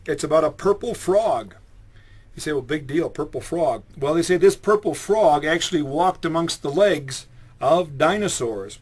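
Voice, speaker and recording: A man's voice: a little high, animated, normal speed, slightly rough. A man voicing an earnest male believer who explains his claims about lake monsters.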